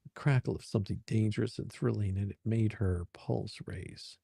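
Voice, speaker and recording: A man's voice: fairly low pitch, steady tone, normal speed, gravelly, mellow, husky, no echo, studio quality. A man narrating a dramatic reading of a romance story.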